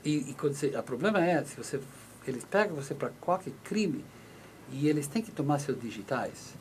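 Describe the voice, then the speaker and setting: A man's voice: on the high side, very animated, brisk, slight background noise. Man explaining legal questions on radio. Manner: explanatory, acts out short exchanges, many restarts. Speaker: lawyer answering listener questions. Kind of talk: radio call-in advice. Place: radio studio in the US.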